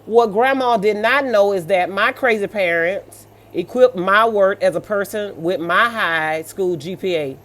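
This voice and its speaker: A mid-pitched American voice reads stories aloud and comments on them off the cuff. The delivery is sassy and blunt, with colloquial asides in a scolding manner. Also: unhurried, few pauses, a bit bright. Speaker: host reading and reacting to online stories.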